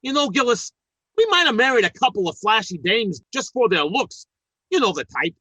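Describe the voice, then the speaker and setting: A blustery, big-hearted working stiff of a dad in a radio comedy. His bright, high voice swoops about as he mangles his grammar and turns sentimental, all closely and dryly recorded. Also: sing-song, moderate pace, breathy edge, dry recording, full character voice. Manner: folksy, ungrammatical, sentimental blustering. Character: good-hearted, blustery working-class family man and father. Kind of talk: old-time radio comedy acting.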